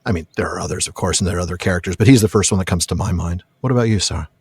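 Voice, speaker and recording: Deep voiced guy podcast host, chatty and reflective, keen, really dry close sound. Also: very fast, a little mellow, slightly rough, no echo. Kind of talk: casual, reflective discussion.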